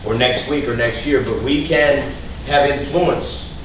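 A middle-aged man speaks as a coach giving a motivational talk in a halting, deliberate way. The room is very echoey and he sounds distant from the microphone, with clear noise around him. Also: medium pitch. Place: indoor team meeting room, in front of players.

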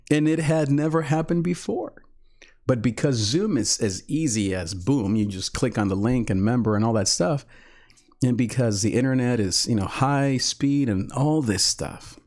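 A middle-aged man, a pastor, talking animatedly to livestream viewers from a small home office into a close studio mic. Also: mid-range voice. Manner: animated, gestures a lot with his hands while talking. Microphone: large studio mic on a boom arm, close.

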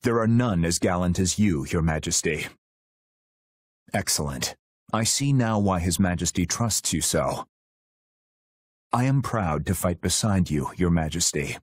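A man voicing a stoic, loyal royal guardian in a video game, husky, solemn, terse and formal. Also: low voice, lively intonation, measured pace, long pauses, no room sound, clean studio sound, heavily acted. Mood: sincere, respectful. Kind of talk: video game battle voice lines.

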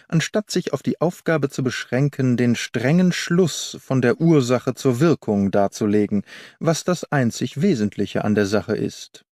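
A man's voice: medium pitch, a little mellow, no echo, studio quality, lightly acted. A man voicing a haughty, didactic detective in an audiobook, pompous and precise in his lecturing. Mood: critical. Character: haughty, didactic male detective, proud of cold logic. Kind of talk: German audiobook character reading.

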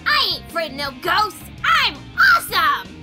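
A woman voicing a hyperactive, cheeky little boy bee in a cartoon fan dub, excitable and childish. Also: very high voice, normal speed, loud music behind the voice. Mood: triumphant.

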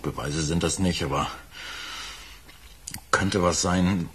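Radio crime drama: a cornered, bitter suspect, deep register, slight noise on the recording. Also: male voice, slow, fairly bright tone. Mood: uneasy, confiding. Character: troubled married working man under murder suspicion, defensive and bitter.

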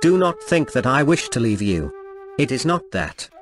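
A man's voice: mid-range voice, very animated, normal speed, soft background music, voice pushed down, lightly acted. A man voicing a dutiful young fisherman who speaks in a formal, polite and anxious way. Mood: earnest. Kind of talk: storybook reading.

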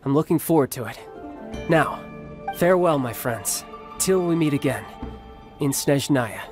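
A man's voice: on the high side, animated, unhurried, music in the background. A man voicing a roguish young warrior and doting older brother in a video game, easygoing and courteous. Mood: warm, fond.

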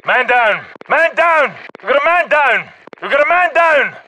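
A man voicing a gruff, urgent special forces soldier in a military shooter game, giving clipped, terse combat callouts. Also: extremely high pitch, big pitch swings, unhurried, bright, forceful tone, exaggerated voice, low-quality recording. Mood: urgent, raised. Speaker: video game voice actor.

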